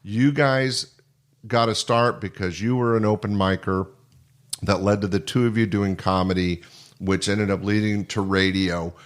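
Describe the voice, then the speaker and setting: A man's voice: on the low side, fairly even intonation, unhurried. An older man hosting a podcast in a conversational interview style, speaking in an earnest, rambling way. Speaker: podcast host.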